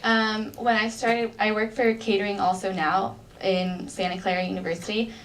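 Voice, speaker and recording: A young woman answers an interviewer's questions in a polite, earnest way, filling her pauses with likes and ums. Her voice sits at a middle pitch and sounds set back from the mic. A faint hiss of noise runs underneath. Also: little pitch movement, far from the mic, low-quality recording. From United States. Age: young adult. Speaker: college student in a mock job interview. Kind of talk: mock job interview answers.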